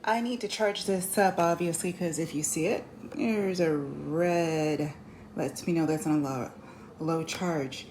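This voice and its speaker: Lowish-voiced woman casually reviewing a product. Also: a little slow, darkish tone. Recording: noisy amateur recording with clicks.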